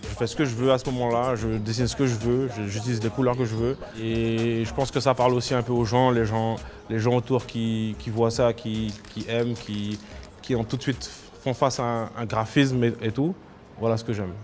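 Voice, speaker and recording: A man from French Guiana speaking casually as an interviewed graffiti artist, in a halting way with repeated words. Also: mid-range voice, little pitch movement. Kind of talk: casual spoken interview about own work. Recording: loud music under the voice.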